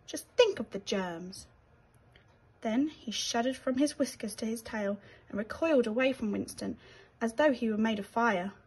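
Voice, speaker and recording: A woman with a British accent reading a children's bedtime story aloud in an expressive storytelling style. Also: on the high side, normal speed, breathy edge, low-quality recording.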